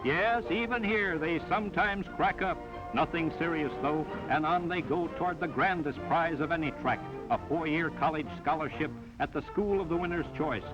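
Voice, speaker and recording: High-pitched American 1940s newsreel man. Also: moderate pace. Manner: punchy, dramatic, slangy showman delivery. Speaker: newsreel narrator. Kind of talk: 1940s newsreel narration, scripted. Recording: dull vintage 1940s newsreel soundtrack, loud music. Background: loud music.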